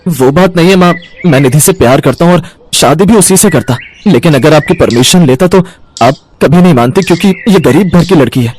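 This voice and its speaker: A man's voice: a little high, animated, a little fast, a little mellow. A man playing an earnest, respectful young adult son in a cartoon family story, explaining himself. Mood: pleading. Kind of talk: Hindi cartoon bedtime-story character acting.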